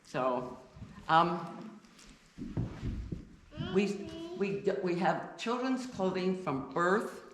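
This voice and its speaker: A woman giving an informal talk to a church congregation, deliberately loud and chatty, with many restarts. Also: lowish voice, very animated. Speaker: guest speaker. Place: church sanctuary. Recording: echoey church with faint noise.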